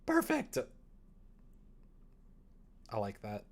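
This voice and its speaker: A man's voice: sits high, huge pitch swings, extremely slow. A young man chatting casually on a livestream, thinking aloud as he works, informal and self-deprecating. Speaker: livestreamer coding a game. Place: indoors, small room at a computer.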